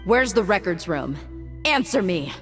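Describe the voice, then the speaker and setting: A woman's voice: lowish voice, very animated, a little slow, a bit bright, soft background music. An actress playing a tough, stern woman mercenary in a video game. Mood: commanding. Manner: stern, commanding.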